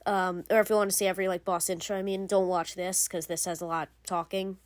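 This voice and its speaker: A woman's voice: lowish voice, little pitch movement, fast talker, dry recording. A young woman hosting a gaming channel, giving casual, rambling game commentary full of fillers and restarts.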